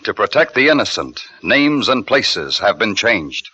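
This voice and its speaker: A man's voice: fairly low pitch, big pitch swings, unhurried, husky. A man announcing a radio drama and reading ad copy in emphatic 1940s style. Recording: dull old radio recording with loud music.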